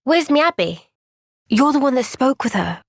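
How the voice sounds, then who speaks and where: A woman with a British accent voicing an earnest, gentle, thoughtful young woman soldier in a video game cutscene. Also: sits high, big pitch swings, unhurried, gravelly, dry recording, studio quality, full character voice. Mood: concerned.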